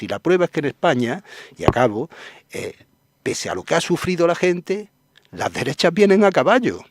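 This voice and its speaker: A man from Spain talks as a journalist guest on a radio interview. His voice sits mid-range, and his delivery wavers between hesitant trailing off and forceful, pessimistic argument. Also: medium pitch, no room sound. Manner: trails off, then presses points forcefully and pessimistically.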